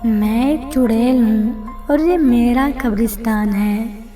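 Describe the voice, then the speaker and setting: Cartoon witch, female, greedy and cruel, high and drawn out. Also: slow, mellow, soft background music, rough recording. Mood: menacing. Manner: gloating, threatening.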